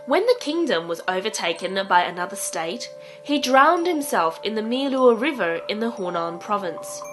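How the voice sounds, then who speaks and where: Woman narrating a short documentary over music. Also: mid-range voice.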